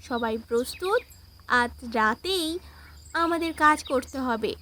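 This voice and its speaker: A woman's voice: sits high, expressive, slow pace, bright, forceful tone, a little hiss or hum, exaggerated voice. A woman voicing a brave, earnest village girl in a fairy-tale cartoon, reassuring and resolute. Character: brave, determined village girl who hunts a thief.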